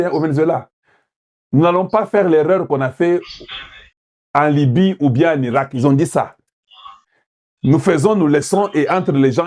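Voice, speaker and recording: A man's voice: a little high, mellow, breathy edge. A man from Congo giving political commentary, putting questions to his viewers and switching into Lingala at times. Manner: rhetorical, asks the audience questions, mixes in Lingala.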